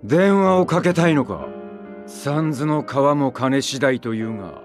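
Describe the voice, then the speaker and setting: An actor playing an ominous otherworldly being in a visual novel, cold and taunting. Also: male voice, medium pitch, expressive, brisk, close to an everyday voice. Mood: cold, mocking. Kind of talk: Japanese visual novel voice acting. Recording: game audio under loud music. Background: music.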